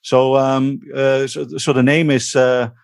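A man with a Dutch accent hosting a podcast in casual, joking chat, with halting, stammering speech. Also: mid-range voice, flat delivery, a little mellow, smooth. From Netherlands. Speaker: podcast host.

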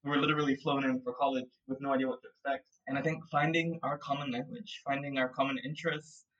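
Guest, twenties, thoughtful and rambling, slightly distant mic. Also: male voice, medium pitch, little pitch movement, quick pace, a bit bright, lo-fi sound. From Singapore. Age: 20s. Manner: reflective, rambling with many fillers and laughs. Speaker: guest, audio production founder. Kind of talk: casual remote interview chat.

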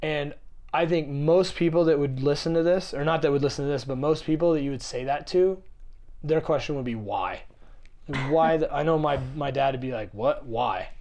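A young adult man co-hosting a podcast, slightly raspy while getting over a cold, talking in a rambling, joking way with lots of fillers. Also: a little high, moderate pace, a little mellow. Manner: rambling, jokey, many fillers. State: recovering from a cold. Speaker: podcast co-host. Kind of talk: casual chat.

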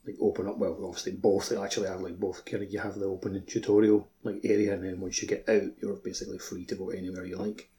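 Flat, low-pitched man in casual chat. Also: fast talker, hardly any pauses. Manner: loose phrasing peppered with "like". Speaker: podcast co-host. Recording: faint background noise.

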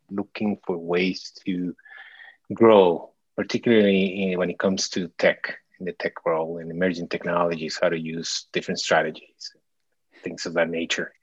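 Podcast guest: deep-voiced man from Mexico, forties, halting, dull dry recording. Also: dry recording. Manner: hesitant, many restarts and fillers. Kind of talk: casual interview chat.

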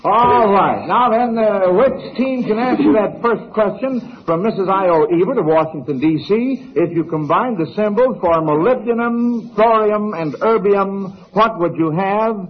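Old time radio quiz guy, folksy and jolly, pitched high, muffled vintage sound. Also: measured pace, few pauses. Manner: jovial, chuckling, folksy. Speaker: quiz show host. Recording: dull vintage radio broadcast.

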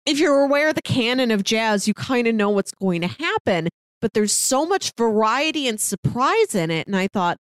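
Chatty higher-pitched woman, dry close recording. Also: very animated, moderate pace, dry recording. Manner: chatty, laughs easily while talking. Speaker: podcast co-host. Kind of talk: casual movie discussion.

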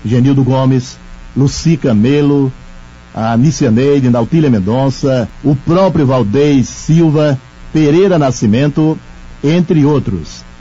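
Mellow older radio man, dull archive sound. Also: medium pitch, slow pace. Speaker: veteran radio presenter and actor. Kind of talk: measured reminiscence. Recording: dull lo-fi archive audio, faint music.

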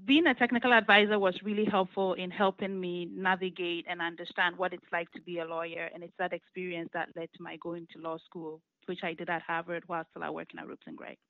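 Woman in her 40s, explains things slow and careful, lots of ums, muffled lo-fi sound. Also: lowish voice, flat delivery, dry recording. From Ghana. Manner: measured, explanatory, with frequent fillers. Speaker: law firm partner. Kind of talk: interview answers. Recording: muffled lo-fi audio.

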